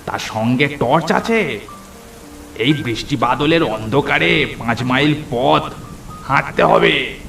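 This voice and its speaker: A man playing a folksy, deferential village tea-stall keeper in a horror cartoon, with a creaky voice. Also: medium pitch, animated, slow pace, long pauses, a bit bright, echoey room, faint music behind, heavily acted. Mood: chatty, curious. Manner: folksy, deferential, stammers when afraid. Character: chatty village tea-stall keeper who turns frightened. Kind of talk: horror cartoon story acting.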